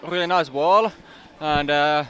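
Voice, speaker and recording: A man with a Finnish accent answering interview questions in halting, broken English with many uhs. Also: a little high, pushed and bright, dull audio, a little hiss or hum. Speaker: athlete interviewee. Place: outdoors at a climbing event.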